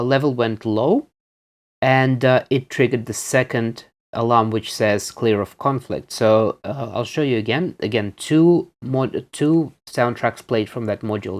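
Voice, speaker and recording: A man presenting a casual hands-on electronics demonstration, explaining things in a halting way. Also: mid-range voice. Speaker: presenter reviewing electronics on video.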